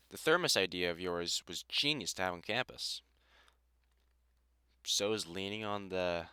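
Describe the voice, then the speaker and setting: Man acting a gruff older male vampire hunter, crisp voice, swinging intonation, teasing mentor, dry wit, audio roleplay. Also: fairly low pitch, pushed and bright, no room sound, heavily acted. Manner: dry, sardonic, halting when sentimental. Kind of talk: one-sided roleplay audio drama.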